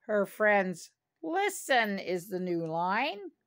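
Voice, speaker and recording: An older woman reading a children's story aloud in a deliberate manner, with small asides to the listener. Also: medium pitch, big pitch swings, fairly bright tone. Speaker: storybook reader.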